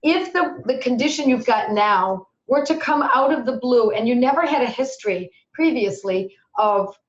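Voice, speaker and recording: A middle-aged woman teaching homeopathy, answering questions in a chatty, animated way and acting out people's worries, her voice a little hoarse at times. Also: on the high side. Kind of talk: webinar Q&A answers. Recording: echoey, dull lo-fi webinar audio.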